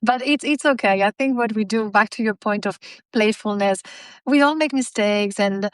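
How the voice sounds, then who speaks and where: A woman's voice: mid-range voice, fairly bright tone, dry recording. A woman whose first language is Spanish, answering an interview question fluently and articulately over a video call. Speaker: guest author and speaker.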